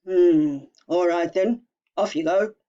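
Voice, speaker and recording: A woman voicing a nasty, ugly old male troll in a storybook reading, slow and menacing, on a dull lo-fi recording. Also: on the low side, dark tone. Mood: gruff.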